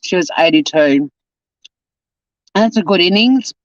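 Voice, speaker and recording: Man, 50s, stream host, chatty, fairly high voice, dry lo-fi mic. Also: animated, unhurried, no room sound. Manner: chatty, reads viewer comments aloud and answers them. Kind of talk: casual live stream chat. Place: home.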